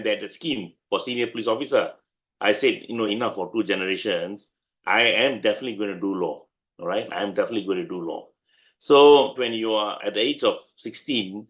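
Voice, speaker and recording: A middle-aged Malaysian man speaks in an emphatic, conversational manner and repeats his key points. He has an ordinary-pitched voice, and the recording sounds a bit dull and muffled. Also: fairly bright tone. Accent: Malaysian English. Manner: emphatic, repeats points, laughs while recalling. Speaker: guest lawyer. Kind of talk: conversational interview answers.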